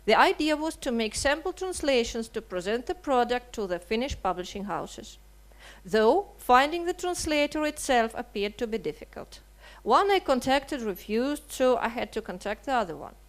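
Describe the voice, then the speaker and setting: A woman's voice: a little high, sing-song. Woman reading a statement. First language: Russian. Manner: measured, earnest, with rhetorical questions. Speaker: writer and translator. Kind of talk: reading a prepared seminar statement. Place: seminar hall.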